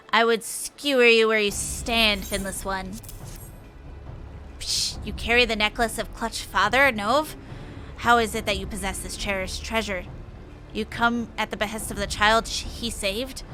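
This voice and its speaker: Stern fish-creature guard voiced by a woman, sharp, formal, hissing, fantasy game role. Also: mid-range voice, low-quality recording. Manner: hissing, formal archaic threats. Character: stern, suspicious fishman tribe guard.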